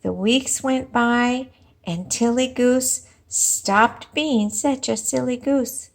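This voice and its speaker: Female primary teacher for read-aloud: expressive and unhurried, fairly high voice with some fry, speaks directly to kids. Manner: expressive, addresses children with questions and pauses. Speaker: teacher reading aloud to young children. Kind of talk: animated storybook read-aloud and lesson.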